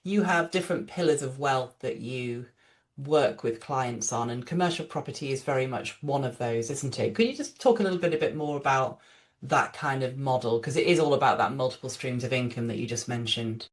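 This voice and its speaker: A woman's voice: very deep voice, fairly quick. A woman hosts a podcast interview, chatting in a friendly way. Her questions ramble and double back before she lands on the point. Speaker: podcast host. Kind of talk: conversational interview.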